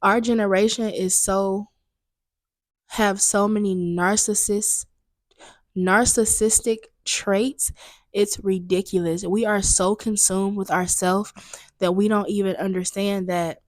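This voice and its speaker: A woman's voice: mid-range voice, steady tone, slow pace, no echo. Young woman, podcast host, candid venting chat, slightly stuffy, dry close mic. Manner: candid, chatty, venting with emphatic repetition. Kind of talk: solo casual podcast talk. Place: booked studio room.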